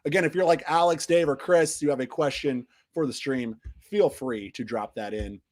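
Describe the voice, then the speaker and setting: A man's voice: a little high, moderate pace. A man hosting a casual soccer recap stream, talking in a rambling way with many restarts, while his voice recovers from being lost. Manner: rambling, frequent restarts and fillers, trails off quietly at phrase ends. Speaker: soccer podcast host.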